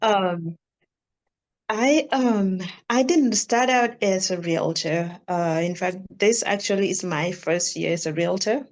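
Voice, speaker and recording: Slow-spoken woman from Kenya. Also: mid-range voice. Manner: measured, hesitant with fillers. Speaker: realtor guest. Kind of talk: interview answers.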